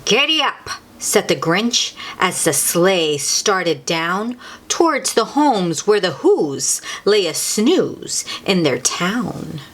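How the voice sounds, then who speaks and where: Woman, children's story host. Very lively intonation, unhurried pace, exclaims between lines of the book. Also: on the low side. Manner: animated, exclaiming, drawn-out words for effect. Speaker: children's read-aloud host. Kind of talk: expressive picture-book read-aloud with asides to young listeners.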